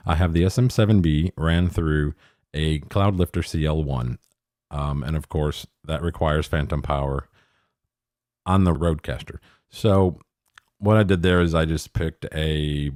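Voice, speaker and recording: A man's voice: deep voice, darkish tone, no echo. A middle-aged man talking casually through audio equipment in a rambling, self-deprecating way, speaking close into studio microphones in a home office. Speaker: podcaster demonstrating audio gear. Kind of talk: casual gear review talk. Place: small home office studio, untreated room. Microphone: close studio microphones with pop filters.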